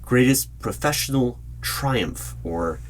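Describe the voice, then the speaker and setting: A man playing a polite, probing hiring manager in an ASMR interview roleplay, speaking in measured phrases with deliberate pauses. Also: mid-range voice, lively intonation, pitched above the performer's own voice, rough recording. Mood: businesslike. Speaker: job interviewer. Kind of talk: ASMR roleplay, spoken aloud. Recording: background noise with keyboard typing. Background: computer keyboard typing.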